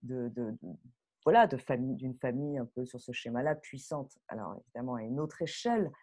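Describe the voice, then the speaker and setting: A woman speaking casually and spontaneously as a guest director on a panel, animated and digressive in the way she talks. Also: rumbling low, brisk.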